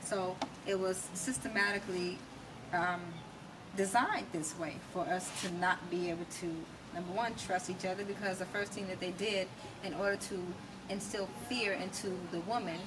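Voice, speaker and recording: A woman's voice: on the low side, fairly even intonation, normal speed. A middle-aged American woman answering as an interview guest, explaining her views conversationally and emphatically, checking that she is understood. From United States. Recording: distant mic, loud ambient noise.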